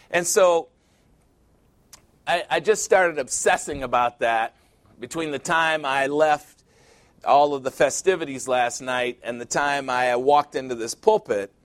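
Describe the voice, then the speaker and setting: A man in his sixties preaching a sermon, emphatic and repetitive, building to loud peaks. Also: a little high. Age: 60s.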